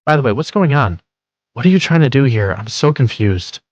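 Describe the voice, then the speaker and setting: A man voicing a studious, modest teenage boy in a manga dub, calm, earnest and self-deprecating. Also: mid-range voice, brisk, no room sound, muffled recording. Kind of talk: fan manga dub with narration.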